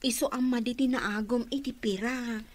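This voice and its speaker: A woman with an Ilocano accent playing a lively, righteous younger sister in a radio drama. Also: on the high side, normal speed, lightly acted. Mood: curious, righteous.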